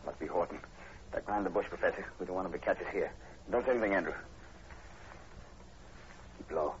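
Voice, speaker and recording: Man playing brisk male sergeant, deep voice, clipped, commanding, suspicious, vintage radio drama, muffled sound. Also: natural voice. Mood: urgent, guarded. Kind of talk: 1940s radio horror acting. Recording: muffled, noisy 1940s radio recording.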